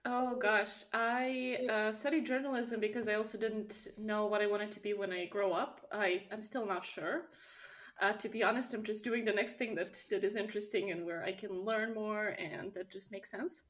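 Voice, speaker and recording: A woman's voice: medium pitch, fairly even intonation, normal speed, a bit bright. A woman from Serbia, telling her own story as an interview guest in a candid, informal, conversational way. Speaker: interview guest, business co-founder.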